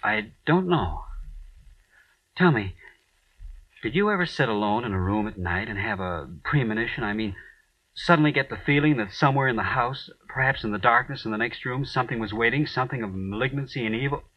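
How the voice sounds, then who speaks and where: An actor playing a troubled radio playwright who works late at night, agitated and halting as he mutters to himself in old-time radio drama style. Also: mid-range voice, animated, no echo, natural voice. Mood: uneasy, brooding. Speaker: actor in a radio horror play. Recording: dull old radio recording, off mic.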